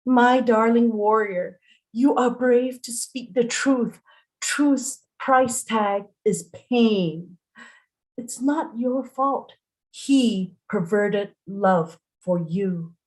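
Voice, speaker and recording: Slow, earnest woman poet. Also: a little high, a little mellow, rough recording. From Singapore. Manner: earnest, halting, deliberate. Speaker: poet and performer. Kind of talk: spoken introduction to open mic poetry. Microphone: online video call at home.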